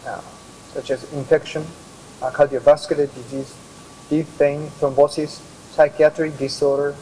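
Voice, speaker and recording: A middle-aged Japanese man with a Japanese accent, a hospital doctor presenting slides, halting with many pauses. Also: fairly high pitch, level tone, mellow, slight background noise, low-quality recording. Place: office with bookshelves. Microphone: video call.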